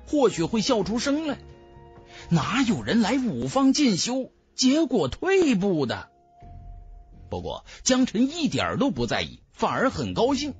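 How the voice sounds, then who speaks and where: A man narrating a fantasy novel as a scripted audiobook, with emphatic, dramatic storytelling. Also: high-pitched.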